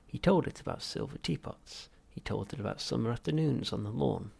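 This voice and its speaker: Very gravelly male audiobook narrator. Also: mid-range voice, animated, brisk, a little mellow. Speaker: audiobook reader. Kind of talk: scripted narration of a comic novel.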